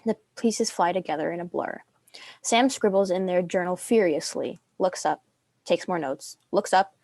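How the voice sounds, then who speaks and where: Young woman narrating, slightly low, dry recording. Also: moderate pace, a little mellow, no room sound. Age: young adult. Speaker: stage directions narrator. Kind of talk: scripted narration.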